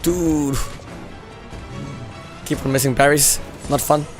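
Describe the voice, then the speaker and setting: A man's voice: a little high, steady tone, slow pace, over music. A male game streamer who is losing his voice, chatting casually with viewers while playing, laughing often and using lots of "you know" fillers. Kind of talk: casual live-stream chat while gaming.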